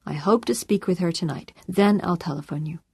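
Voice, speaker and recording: Woman playing a composed, courteous man, slightly husky. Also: fairly low pitch, no echo, clean studio sound. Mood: calm, reassuring. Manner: calm, polite, measured.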